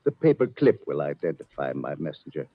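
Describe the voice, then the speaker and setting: An actor playing a dejected, courteous old former bank president in a 1940s radio drama. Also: male voice, a bass voice, sing-song, fairly quick, exaggerated voice. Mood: sad, weary. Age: older. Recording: muffled, noisy old radio recording.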